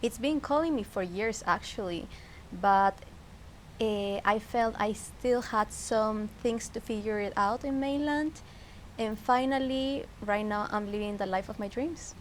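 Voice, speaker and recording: A woman's voice: medium pitch, a little slow. Peruvian-accented woman, reflective, with background noise. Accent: Peruvian Spanish. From Peru. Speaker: interview guest. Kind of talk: casual personal conversation. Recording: clear background noise, ambience.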